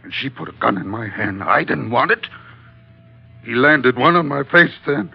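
Beat up desperate sailor, croaky and husky, old muffled radio show. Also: male voice, medium pitch, animated, normal speed, soft background music. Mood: shaken, dazed. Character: desperate, battered sailor, a ship's chief mate. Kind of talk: classic radio drama acting. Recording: dull vintage radio recording.

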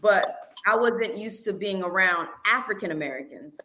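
A woman's voice: mid-range voice, expressive, normal speed, pushed and bright, a little hiss or hum. A woman from Miami in casual group chat, choosing her words carefully.

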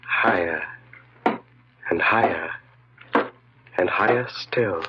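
A man's voice: low voice, slow pace. An actor playing a commanding, mocking evil genius that speaks within a man's mind, in 1940s radio horror style. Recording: muffled old radio recording.

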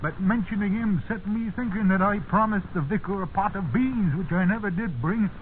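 Man playing high-voiced, grumbling old English farmhand. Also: moderate pace, close to an everyday voice. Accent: rural English. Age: older. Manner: plain-spoken, grumbling dialect talk. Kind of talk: 1950s radio drama acting. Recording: tinny, noisy 1950s radio recording.

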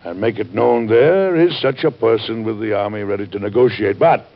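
A man playing a weary, principled middle-aged president in a historical radio drama, speaking formally, firmly and with measured delivery. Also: fairly low pitch. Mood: businesslike. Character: weary, principled American president, stern and exacting. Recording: dull lo-fi vintage radio recording.

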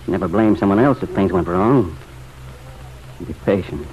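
An actor playing a torn, stubborn farmer in a vintage radio drama, plain-spoken, hesitant and guarded. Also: male voice, low voice, normal speed, darkish tone, natural voice. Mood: wistful. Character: torn, stubborn farmer still pining for his wife. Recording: dull, noisy old radio recording.

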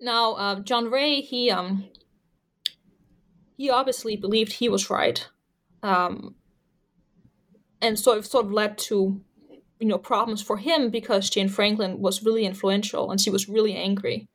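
A woman's voice: mid-range voice, fairly even intonation, unhurried, pauses often. A Danish woman, a native Danish speaker, talking as an author about her research in an interview, thoughtful and full of fillers and restarts. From Denmark. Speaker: author and historian guest. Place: Canada.

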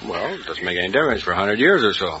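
A man's voice: lowish voice, very animated, rapid-fire, sharp tone. A man giving unscripted answers as a contestant on an old radio quiz. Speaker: building inspector, quiz contestant. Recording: dull old radio recording, distant voice.

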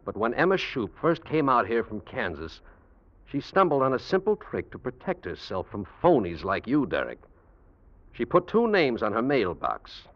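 An actor playing an earnest, wronged rival who speaks pointedly, in 1940s radio drama style. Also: male voice, mid-range voice, sing-song, normal speed, lightly acted. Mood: pointed, triumphant. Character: wronged male rival, earnest, quietly triumphant accuser. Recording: muffled vintage radio recording.

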